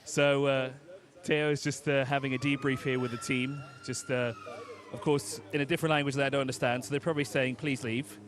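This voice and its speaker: A man reporting live from an outdoor race pit lane, chatty and quick with joking asides. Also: mid-range voice, little pitch movement, noisy background. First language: English.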